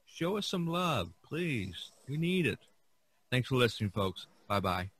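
A man's voice: mid-range voice, very animated, unhurried, fairly bright tone, husky, dry recording. A man hosting a podcast in a casual, rambling, folksy way. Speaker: podcast host. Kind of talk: casual chat.

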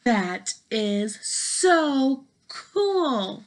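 A woman voicing an excitable, anxious male elephant from a picture book, exclaiming in wonder. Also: on the high side, extremely slow, full character voice. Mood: amazed.

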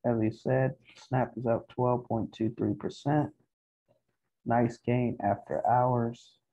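A man hosting a casual stock market update, speaking in short, halting, measured phrases. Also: mid-range voice, steady tone, dark tone. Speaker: stock video host. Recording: dull, muffled lo-fi home recording.

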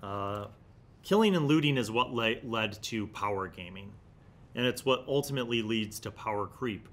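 A man's voice: fairly low pitch, lively intonation, moderate pace, fairly bright tone. A man in his forties hosting a review, enthusiastic and emphatic, mixing casual commentary with reading aloud into a desk microphone in a small studio room. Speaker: host of a tabletop gaming magazine review series. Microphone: desk microphone close in front.

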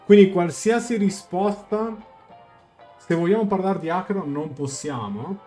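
A man's voice: high-pitched, measured pace, mellow. A young man giving casual live game commentary, thinking aloud as he explains and translates. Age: young adult. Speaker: game streamer. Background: game music.

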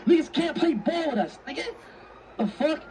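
A man playing a roleplay game on stream, excitable, slangy and profane, acting in character. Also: very high voice, normal speed, recorded from a distance, a little hiss or hum.

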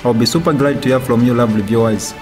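A man's voice: mid-range voice, quick pace, a little mellow. A young man with a Zambian accent hosting his channel and reading a news report in an upbeat presenter's manner. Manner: upbeat presenter greeting viewers. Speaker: YouTube news host. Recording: faint music behind.

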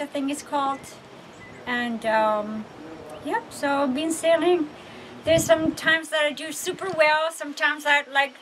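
A woman's voice: sits high, a bit bright, a little hiss or hum, low-quality recording. A woman in her forties who grew up in Argentina, giving a casual outdoor interview about a sailing race and explaining it step by step. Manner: thoughtful, explains step by step with fillers. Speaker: sailor interviewed at a regatta. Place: outdoors beside a building, trees and cars. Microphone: camera mic close up.

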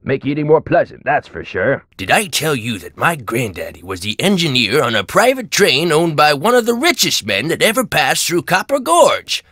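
Chatty american old man for a game, rambles folksy stories, pitch goes all over. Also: very animated, normal speed, hardly any pauses, bright, forceful tone, no room sound, studio quality, full character voice. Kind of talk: video game character acting.

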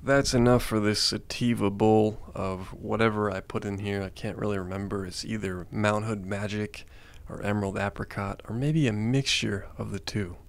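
A man's voice: fairly low pitch, fairly even intonation, moderate pace, gravelly. A young man giving a laid-back, mock-serious comedy monologue into a handheld mic in a small room. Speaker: comedy vlogger. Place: small room with green-screen backdrop. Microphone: handheld mic held close.

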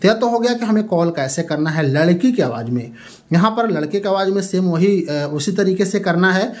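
A man's voice: sits high, lively intonation, normal speed, darkish tone. A man hosting a tech tutorial, explaining an app step by step in a repetitive, instructional way.

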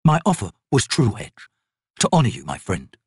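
Guy as a big proud warrior, terse slow deadpan delivery, rough voice, audiobook. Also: mid-range voice, no echo, studio quality, heavily acted. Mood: mock solemn.

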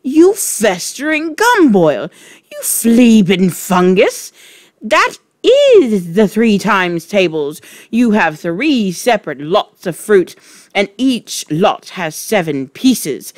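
A woman's voice: deep voice, expressive, takes it slowly, no echo, clean studio sound. A woman voicing an enormous bullying headmistress in a storybook, barking out scornful, furious insults.

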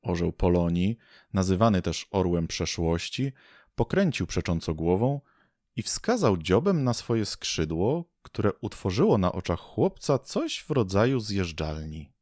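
A man's voice: low-pitched, very animated, unhurried, a little mellow, dry recording, studio quality. A man narrating an audio drama as a storyteller, reading scripted prose. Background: faint music.

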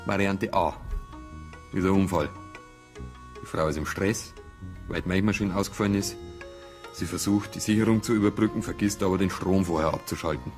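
An actor playing a methodical, probing police detective with a Bavarian accent in a radio crime drama. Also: male voice, low-pitched, moderate pace, pauses often, faint music behind, lightly acted.

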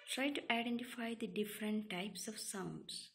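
A woman leads a guided relaxation meditation, giving calm, soothing instructions in short, separated phrases. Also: medium pitch, moderate pace, creaky. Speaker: yoga nidra meditation guide.

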